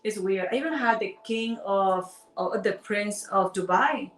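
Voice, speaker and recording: A woman's voice: mid-range voice, a little slow, recorded from a distance. Chatty Ecuadorian woman on a roomy live stream. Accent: Ecuadorian Spanish. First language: Spanish. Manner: chatty, playful, addresses viewers directly. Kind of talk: casual live-stream chat. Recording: roomy sound from away from the mic.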